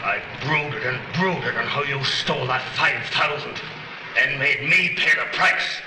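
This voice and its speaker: An actor playing a bitter, sardonic ex-convict burglar in a 1930s crime film, menacing and taunting in tough slang. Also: male voice, medium pitch, unhurried, natural voice. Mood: menacing, vengeful. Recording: noisy, echoey 1930s film soundtrack.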